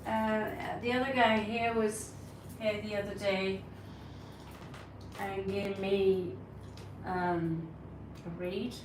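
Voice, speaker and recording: A woman's voice: medium pitch, little pitch movement, takes it slowly, far from the mic. Blunt Norwegian-accented woman, echoey room. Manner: blunt, scolding, sarcastic asides to chat. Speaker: tarot reader livestream host. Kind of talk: casual live-stream chat answering viewers. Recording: echoey room, mic set back, audible noise.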